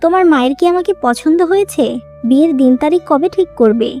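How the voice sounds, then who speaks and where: Cartoon role: modern young girlfriend played by a woman, high-pitched, blunt and assertive, light music bed. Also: animated, fast talker, dark tone. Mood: impatient. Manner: assertive, questioning. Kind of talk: dramatic cartoon dialogue.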